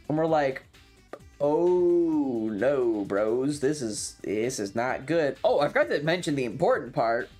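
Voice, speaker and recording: Streamer recounting a tabletop adventure: unhurried, expressive, casual and foul-mouthed, androgynous voice over background music. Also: mid-range voice. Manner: animated, frequent swearing. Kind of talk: casual storytelling about a tabletop game.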